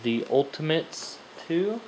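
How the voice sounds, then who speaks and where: A collector casually showing off finds, announcing items in short clipped phrases with long pauses. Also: medium pitch, very animated, a little mellow, low-quality recording. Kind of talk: casual comic haul show-and-tell, listing titles. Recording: background noise, amateur camera microphone.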